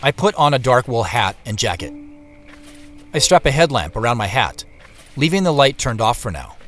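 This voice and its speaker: Casting: reflective male storyteller for a first-person audio drama, measured yet expressive, medium pitch. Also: faint music behind. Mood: focused, intent. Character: reflective man narrating his secretive search. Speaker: narrator and lead character.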